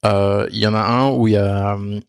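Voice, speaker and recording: A man's voice: on the low side, flat delivery, brisk, smooth, dry recording, studio quality. A man hosting a podcast in playful, casual conversation. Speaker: podcast host. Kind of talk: casual chat.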